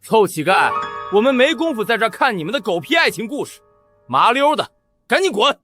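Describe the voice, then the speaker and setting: Coarse henchman; rude, impatient, lively pitch over score. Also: a little fast, music in the background. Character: crude thuggish male henchman.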